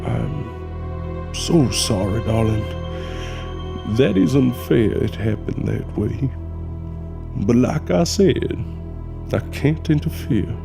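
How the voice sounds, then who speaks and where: An actor playing a folksy Southern mortician and spirit medium in an audio drama, courteous and hesitant with drawn-out phrasing. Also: male voice, medium pitch, lively intonation, creaky. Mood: gentle, resigned. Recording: music under the voice.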